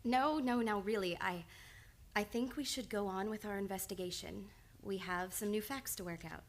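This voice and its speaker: A woman's voice: medium pitch, moderate pace, natural voice. A woman playing a composed, earnest psychoanalyst in an old-time radio play, probing and insistent with a patient she cares for. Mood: pressing, insistent. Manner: insistent, probing, clinical yet affectionate. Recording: live radio play with background noise.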